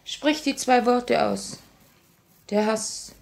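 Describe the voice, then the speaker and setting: Slow, creaky-voiced, sorrowful revolutionary. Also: female voice, fairly high pitch. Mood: grave, restrained. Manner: measured, solemn delivery. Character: grave, sorrowful woman revolutionary, tender yet resolute. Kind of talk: 1950s German radio drama acting.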